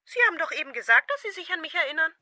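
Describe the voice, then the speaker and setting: High, bright, taunting madwoman. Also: animated, racing, exaggerated voice. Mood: mocking, gloating. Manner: taunting, theatrical. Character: menacing, mocking, unhinged woman caller, gloating. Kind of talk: German radio thriller acting.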